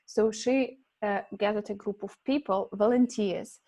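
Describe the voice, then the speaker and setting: A Russian-native woman hosting an English conversation club over a video call, talking casually and halting often with fillers and restarts. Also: medium pitch, warm tone. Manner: hesitant, many fillers and restarts. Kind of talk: casual teaching chat.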